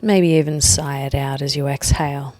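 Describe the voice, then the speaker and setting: A woman leading a guided meditation, speaking gently and leaving long pauses between short phrases. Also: extremely low pitch, steady tone, no room sound. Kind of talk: guided meditation, slow soothing instruction.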